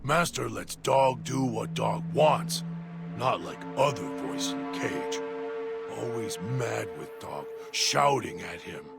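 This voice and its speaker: A man voicing a deranged, hungry male dog-creature who talks of himself in halting, broken third-person speech. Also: mid-range voice, animated, exaggerated voice. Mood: eerie. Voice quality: gravelly. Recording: music bed underneath.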